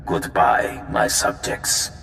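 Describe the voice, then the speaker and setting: A man's voice: slow pace, a bit bright, faint music behind. An actor playing a proud, ruthless king in a video game, declaiming pompously. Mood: imperious. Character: proud, ruthless king issuing a deadly edict. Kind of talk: video game character acting. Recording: echoey hall with long reverb.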